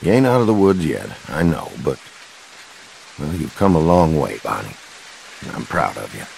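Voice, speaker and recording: An actor playing a kindly, awkward middle-aged man in a game drama, with a Southern American accent and a folksy, halting way of talking. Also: very deep voice, very animated, creaky, darkish tone, slight background noise, exaggerated voice, poor audio. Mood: earnest, tender. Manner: folksy, halting, fumbling for words. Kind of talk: naturalistic dramatic game dialogue.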